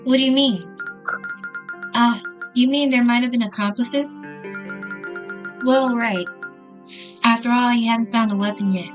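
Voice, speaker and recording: A woman's voice: a little high, a little slow, long pauses, music in the background, close to an everyday voice. A woman voicing a self-pitying, coy young female murder suspect in a visual novel, plaintive and teasing. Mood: coy, evasive. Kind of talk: visual novel dialogue read aloud.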